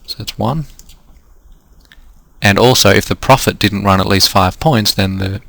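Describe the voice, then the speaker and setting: A man's voice: fairly low pitch, unhurried, gravelly, a bit bright, dry recording. A man with an Australian accent teaching a trading lesson, walking through numbers step by step and losing his voice. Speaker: trading coach. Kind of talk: screen-recorded lesson.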